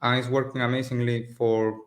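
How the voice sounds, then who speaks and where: A man from Spain, a native Spanish speaker in his late twenties, talking relaxed and casual as a guest on a video call, with loose phrasing and restarts. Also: mid-range voice, fairly quick. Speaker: agency owner, guest. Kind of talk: casual interview over a call. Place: rented office in Mexico.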